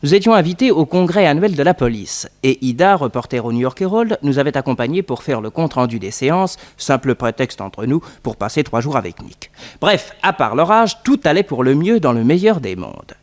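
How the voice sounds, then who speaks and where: An actor playing a genial detective's nephew, narrating a 1960s French radio serial with wry, theatrical flourish. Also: male voice, fairly high pitch, very animated, a little fast. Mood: wry, lively. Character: genial young detective's nephew recounting adventures.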